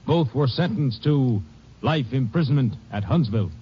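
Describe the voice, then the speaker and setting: A man's voice: medium pitch, expressive, normal speed, loud music behind the voice. Formal male radio announcer. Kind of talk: scripted narration. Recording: muffled old radio broadcast.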